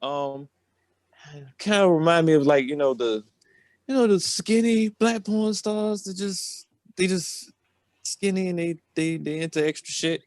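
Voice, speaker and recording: A man's voice: fairly high pitch, expressive, unhurried, darkish tone, no echo, studio quality. A man telling a story on a podcast in a casual, rambling, slangy way. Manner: colloquial, slangy.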